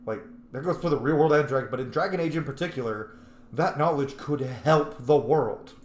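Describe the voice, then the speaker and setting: A man's voice: medium pitch, moderate pace. A man giving casual, slangy, reactive commentary while playing a video game. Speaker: game streamer. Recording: roomy echo, faint noise.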